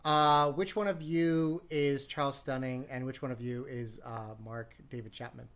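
A co-host of a comedy podcast chatting casually and cracking deadpan jokes. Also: mid-range voice, moderate pace.

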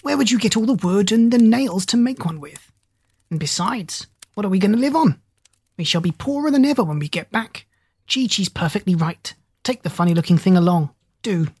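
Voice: medium pitch, animated, normal speed, a little mellow, husky, no room sound, voice pushed up. A narrator voicing Dab Dab, a fussy, bossy female duck who scolds and bustles. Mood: scolding.

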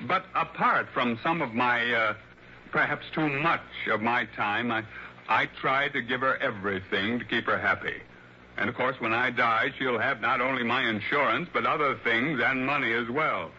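Sharp-voiced genial older writer. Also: male voice, medium pitch. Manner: courteous, talkative, halting with hesitations. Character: blind, genial older male mystery writer, wealthy and obsessive. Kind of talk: vintage radio drama acting. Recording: muffled, noisy old radio broadcast.

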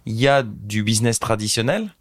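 A French man in his thirties, a social entrepreneur telling an animated anecdote as an interview guest. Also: on the low side, brisk. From France. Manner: animated anecdotal storyteller, addresses host directly. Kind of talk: casual storytelling interview.

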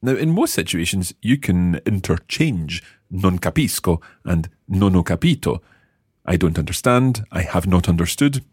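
Deep-voiced man teaching, slightly husky. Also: no echo, studio quality. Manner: patient, lively, repeats phrases slowly. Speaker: language teacher and host. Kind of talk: explaining and quizzing co-hosts.

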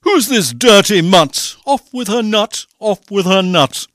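Man as storybook prince, bloodthirsty, hot-tempered, high-pitched shouting, wildly swooping delivery, dry sound. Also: lively intonation, slow pace, no echo, full character voice. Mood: furious. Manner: loud, exclamatory shouting. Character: imperious, hot-tempered, bloodthirsty young fairy-tale prince.

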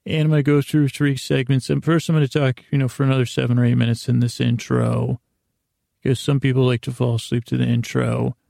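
A man with a mid-range voice full of heavy vocal fry speaks in a soothing bedtime-podcast monologue. He wanders and stammers, restarting phrases and interrupting himself. The sound is dry, with no room around it. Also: mid-range voice, little pitch movement, mellow, dry recording. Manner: halting, meandering, with repeated stammered words and self-interruptions. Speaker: host of a bedtime-story podcast.

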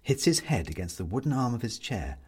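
A man reads a story aloud with a wry, measured turn of phrase. His voice sits at an ordinary male pitch with a rough, husky edge, and it rises and falls expressively as the narration moves along. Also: big pitch swings. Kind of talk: scripted literary storytelling narration.

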